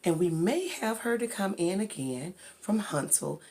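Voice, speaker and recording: A woman host speaks casually to her viewers. Her voice is low and husky, and her pitch moves around in a lively way. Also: moderate pace.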